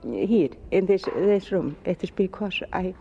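A woman's voice: on the low side, animated, unhurried, mellow, a little hiss or hum. A woman from Iceland with an Icelandic accent, a conference attendee who speaks English hesitantly while searching for words. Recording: dull recording with faint background ambience.

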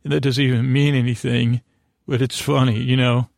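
A man with a distinctly creaky, fry-laden voice delivers a bedtime podcast monologue. He meanders in a soothing way, breaking off and circling back through long-winded asides. The sound is dry, with very little room to it. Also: mid-range voice, level tone, moderate pace, darkish tone, no room sound. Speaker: podcast host.

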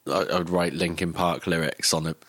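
A man's voice: low voice, fairly even intonation, brisk, fairly bright tone, dry recording. A young man, chatting casually and informally as an interview guest, recalling his life with some swearing. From Cardiff, Wales, born in Greece. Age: young adult. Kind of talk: casual chat, personal recollection.

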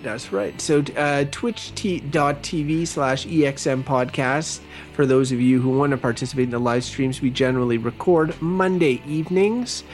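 A man's voice: medium pitch, moderate pace, few pauses, faint music behind. A man co-hosting a podcast in casual banter, chatty and rambling, with many fillers. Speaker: podcast co-host.

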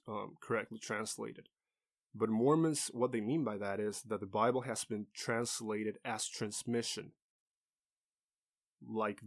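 Low-voiced man hosting a teaching podcast. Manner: earnest, explanatory, with small restarts. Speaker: podcast host. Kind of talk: solo teaching talk.

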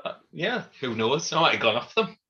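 A man's voice: medium pitch, sing-song, fairly quick, pushed and bright, slight background noise. A man, co-hosting a casual chat with dry, self-mocking jokes. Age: 40s. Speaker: podcast co-host. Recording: dull lo-fi sound.

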